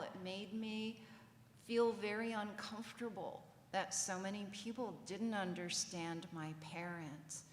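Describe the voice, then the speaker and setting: A woman who coordinates an English language program, speaking thoughtfully and personally on a panel. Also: medium pitch. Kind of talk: reflective panel remarks. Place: conference hall. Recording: echoey hall, faint noise.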